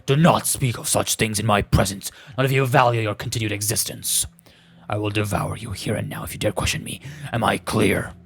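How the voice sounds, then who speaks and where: Man voicing a gravelly, menacing female cave creature. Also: fairly low pitch, fairly even intonation, fairly bright tone, heavily acted. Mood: furious. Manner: haughty, slow, formal threatening speech.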